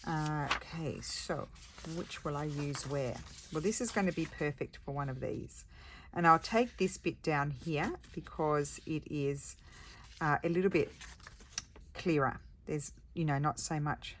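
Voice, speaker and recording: Woman with a low, croaky voice. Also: a little hiss or hum. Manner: thinking aloud, chatty, unhurried. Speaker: paper crafter. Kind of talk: casual crafting chat while working.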